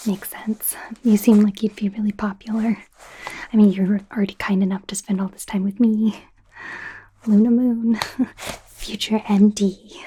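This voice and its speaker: A woman voicing a young werewolf medical student in an ASMR roleplay, in a soft, breathy whisper with a hesitant, rambling, self-conscious manner. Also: mid-range voice, flat delivery, unhurried. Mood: friendly, hesitant. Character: young female werewolf medical student, awkward, eager, kind.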